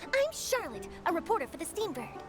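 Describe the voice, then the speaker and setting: A woman's voice: very high-pitched, big pitch swings, fairly quick, over music. A woman playing an eager, ambitious young newspaper reporter in an anime-style game, bubbly and emphatic. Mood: delighted.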